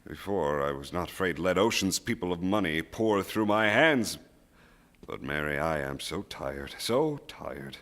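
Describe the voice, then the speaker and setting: A man playing a weary, guilt-ridden husband in a stage radio play, halting and resigned. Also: deep voice, lively intonation, a bit bright, full character voice. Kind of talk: stage radio drama acting.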